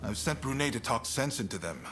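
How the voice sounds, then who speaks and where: An actor playing a resolute, burdened samurai commander in a video game cutscene, speaking in a measured, plain-spoken way with weary resolve. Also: male voice, mid-range voice, expressive, background noise, natural voice. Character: frank, resolute samurai military commander, burdened but calm. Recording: music behind the voice.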